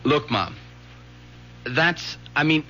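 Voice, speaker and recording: A man's voice: fairly high pitch, lively intonation, drawn-out words, pushed and bright, dull audio, heavily acted. An actor playing a loyal, evasive teenage boy, hesitant and defensive, in 1970s radio drama style. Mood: defensive, uneasy. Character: earnest, evasive teenage son, uneasy and loyal. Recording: vintage radio broadcast, faint noise.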